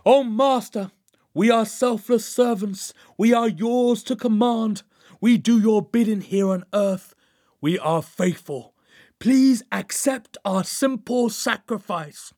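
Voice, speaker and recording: Man playing a fervent, high-pitched female cultist, chanting. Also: no room sound, studio quality. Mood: fervent pleading. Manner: solemn, drawn-out incantation. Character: earnest female cultist chanting devotion to her dark master.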